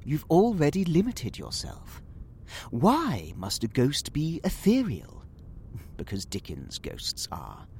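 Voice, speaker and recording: A man's voice: fairly high pitch, wildly swooping pitch, slow, darkish tone, slight background noise, exaggerated voice. A British man playing a genteel, talkative Victorian magazine writer in an audio drama, rambling and theatrical in manner. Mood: animated, intrigued. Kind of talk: audio drama acting in period English.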